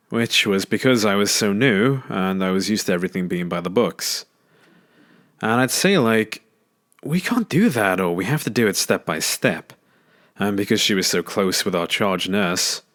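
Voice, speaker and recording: A man narrating a scary story aloud in an expressive, storytelling way. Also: lowish voice.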